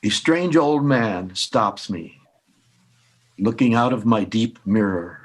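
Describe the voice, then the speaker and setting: A man with a slightly low voice speaks at an informal poetry reading. He goes slowly and haltingly, leaving pauses between his phrases. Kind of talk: informal introduction and poetry read aloud.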